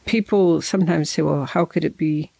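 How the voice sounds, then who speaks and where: An interviewer asking thoughtful, probing questions in a steady conversational way. The voice has a gravelly, creaky edge and sounds dry and close, with no room echo. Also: mid-range voice, moderate pace. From Nigeria.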